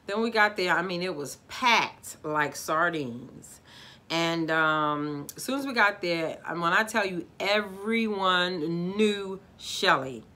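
A woman's voice: fairly low pitch, slow pace. A woman in her forties from Baltimore with a Baltimore accent, chatting casually to viewers in a living-room video review. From Baltimore, USA. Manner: animated, talks with her hands, addresses viewers as guys. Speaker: YouTube vlogger. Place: decorated living room, indoors.

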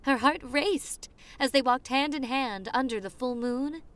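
Slow-spoken, sweet popular girl, acted by a woman. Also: high voice, a little hiss or hum. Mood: hushed, suspenseful. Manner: soft, intimate, giggly, telling a spooky story slowly. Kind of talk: ASMR roleplay.

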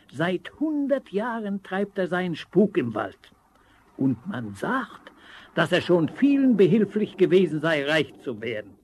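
An actor playing a knowing teller of an ominous local legend in a storybook radio play, dramatic and emphatic. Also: male voice, fairly high pitch, slightly rough, full character voice.

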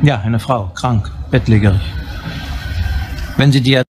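A man's voice: medium pitch, unhurried, music in the background, close to an everyday voice. An actor playing a male police investigator who questions a colleague in a pointed, sarcastic way. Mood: accusing. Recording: hissy old TV soundtrack.

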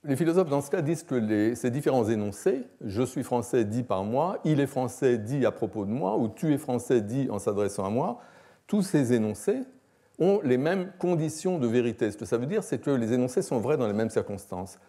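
Male academic lecturer, 60s. Relaxed dark timbre, measured explanatory delivery with hesitations. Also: mid-range voice. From France. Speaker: philosophy professor. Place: lecture hall, at a lectern.

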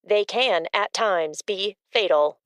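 A woman giving scripted factual asides as a co-host, precise, formal and deadpan, a little robotic. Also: mid-range voice, takes it slowly, clean tone, dry recording. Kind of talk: scripted informational narration.

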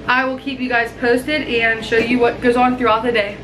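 A chatty young woman with a fairly high voice walks through her grocery haul in a home kitchen. She talks straight into a handheld camera held close, with a faint hiss underneath. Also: level tone, normal speed. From Pennsylvania. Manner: chatty, bubbly, teasing. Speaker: vlogger. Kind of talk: casual grocery haul vlog. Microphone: handheld camera at arm's length.

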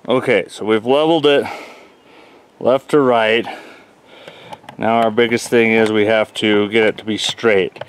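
A man's voice: on the low side, fairly even intonation, a little slow, pauses often. Casual man vlogging with background noise. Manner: matter-of-fact, thinking aloud. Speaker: homesteader vlogger. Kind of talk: casual explanatory chat. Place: outdoors at a homestead sawmill site.

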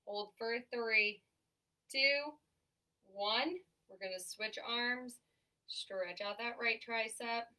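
A woman's voice: on the high side, pushed and bright. A young woman, an occupational therapist, leading an exercise class in an upbeat, encouraging way, congested from allergies and breathing a little heavily. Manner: upbeat, encouraging, counts down holds slowly. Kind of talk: guided workout instruction. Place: small room at home, in front of a banner backdrop. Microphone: camera mic from across the room. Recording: voice from a distance off a camera mic.

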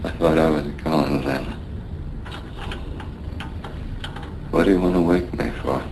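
An actor playing a dying sailor in a radio drama, weak, frightened and bitter. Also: male voice, a bass voice, fairly quick, gravelly. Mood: weary. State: gravely ill, weak. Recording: noisy radio drama recording.

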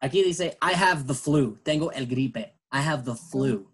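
A patient male teacher speaks at an ordinary pitch, guiding beginners through an online class. He explains slowly and switches languages as he goes. He sounds like he is on a computer mic during a video call. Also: husky. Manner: patient, switches between English and Spanish. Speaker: English teacher.

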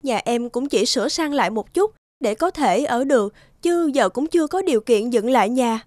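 An actress playing a humble, emotional, plaintive poor wife in an animated moral tale. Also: female voice, a little high, normal speed, dry recording, clean studio sound, lightly acted. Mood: grateful, modest. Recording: music behind the voice.

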